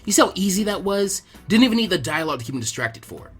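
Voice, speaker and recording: A man acts out a cocky teenage ninja boy from an anime story, pitched up and brash. He talks with smug swagger and loud bravado, with music playing behind him. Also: big pitch swings, fast talker, slightly rough, full character voice.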